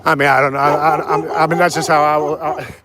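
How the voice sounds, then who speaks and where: Man, slightly high-pitched, casual live stream, outdoor walk, phone mic, rambling, restarts, answering comments. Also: level tone, fast talker, heavy background noise. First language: English. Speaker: livestreamer.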